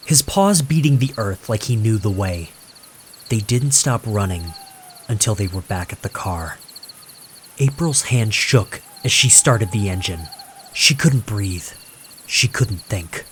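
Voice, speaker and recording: A man narrating a scripted horror story in a suspenseful, deliberate manner with dramatic pauses. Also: fairly low pitch, animated, slight background noise.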